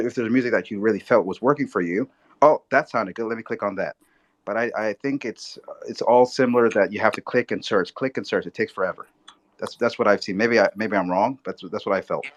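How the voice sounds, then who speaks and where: A man joining a live discussion as a participant, talking casually in a rambling, halting way. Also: fairly low pitch, a bit bright. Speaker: audience participant.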